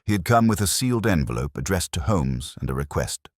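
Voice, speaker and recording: A man narrating a scripted story as an audiobook reader, in a measured, literary delivery. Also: deep voice, big pitch swings, no echo, clean studio sound.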